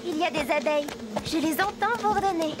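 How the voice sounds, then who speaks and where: A woman voicing a gentle, cheerful young girl rider in a French animated series dub, speaking politely and soothingly. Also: very high voice, brisk, heavy background noise. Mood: happy.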